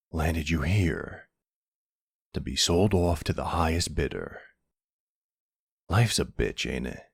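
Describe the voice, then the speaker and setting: A flirty, sardonic vampire played by a man in an ASMR audio drama. His voice is husky and full of gravelly fry, swinging expressively as he taunts his rival. The delivery is smug and teasing, laced with dry sarcasm. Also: deep voice, no echo, full character voice. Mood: sardonic, cold.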